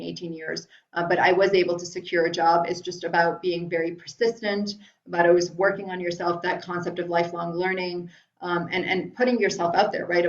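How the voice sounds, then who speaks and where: A middle-aged woman from Iran talking casually in a live webinar from home, answering viewer questions with many ums and restarts. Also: fairly low pitch. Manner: chatty, frequent ums and restarts. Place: room at home. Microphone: video call. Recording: roomy, dull lo-fi video call audio.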